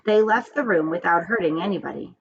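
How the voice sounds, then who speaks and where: Animated, creaky-voiced woman storyteller. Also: on the low side, fairly quick. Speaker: story reader. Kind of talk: expressive read-aloud of a children's story. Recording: dull, muffled, background noise.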